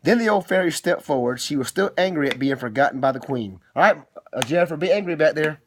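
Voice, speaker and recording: Playful middle-aged dad reading a story, noisy recording. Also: male voice, fairly high pitch, animated, fast talker. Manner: theatrical, teasing, frequently interrupts himself. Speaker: father telling a bedtime-style story. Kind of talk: homemade storytime, reading aloud with playful asides.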